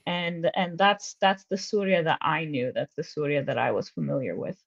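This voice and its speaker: A woman being interviewed, speaking in a reflective, steady way with frequent fillers. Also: deep voice, moderate pace, vocal fry. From United States. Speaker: interview guest, researcher and activist. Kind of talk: personal conversational interview. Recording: slightly dull lo-fi sound.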